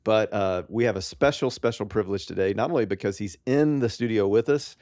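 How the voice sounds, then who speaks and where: A man's voice: fairly low pitch, fairly quick, a little mellow, dry recording. A man hosting a church podcast, chatting casually with many "uh"s and restarts in a studio. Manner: friendly, filler-heavy, restarts often. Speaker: podcast host.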